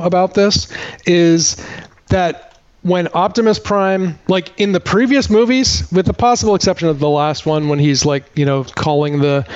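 A man's voice: a little high, clear, resonant tone. A middle-aged man co-hosting a podcast, recapping a film in rambling, incredulous casual talk. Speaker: podcast co-host. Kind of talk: casual chat, movie recap. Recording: dull, band-limited podcast audio.

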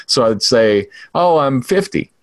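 A man's voice: medium pitch, expressive, measured pace, dry recording. A man in his forties co-hosting a podcast, chatting easily and laughing often. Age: 40s. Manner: easygoing, laughs often, talks about faith and family. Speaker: podcast co-host. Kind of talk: casual chat.

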